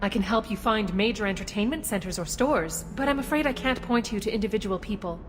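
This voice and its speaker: A woman voicing a courteous female alien city guide in a video game, speaking with polite, formal and measured official courtesy. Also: mid-range voice, over music, lightly acted. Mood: courteous, informative. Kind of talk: scripted video game dialogue. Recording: long reverberant hall, some background noise.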